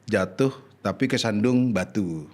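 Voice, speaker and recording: A man's voice: fairly low pitch, unhurried. A man from Madura with a Madurese accent, chatting casually as a guest and eagerly explaining words with frequent laughs. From Madura, Indonesia. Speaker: guest comedian. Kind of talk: casual chat.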